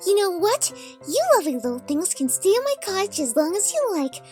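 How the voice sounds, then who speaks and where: A woman voicing a sweet, shy, motherly young cartoon pony in a gentle, gushing way. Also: very high voice, sing-song, fairly quick, faint music behind. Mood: delighted, caring. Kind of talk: cartoon comic dub.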